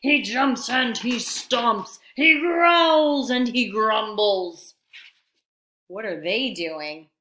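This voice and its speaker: A woman reading a picture book aloud to young children in an expressive, teacherly manner, pausing to explain words. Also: on the high side, a little hiss or hum. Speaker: storytime reader for young children. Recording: roomy, echoey sound.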